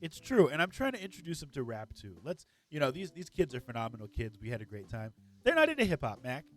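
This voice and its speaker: A man's voice: mid-range voice, huge pitch swings, quick pace, soft background music. A man co-hosting a gaming podcast, a comedian telling stories in an enthusiastic, joking way. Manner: enthusiastic storyteller, upbeat and joking. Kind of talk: casual podcast chat.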